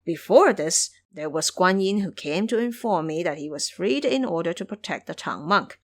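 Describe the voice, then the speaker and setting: A woman's voice: fairly low pitch, animated, normal speed. A woman voicing an indignant, stately male emperor of heaven in an audio drama.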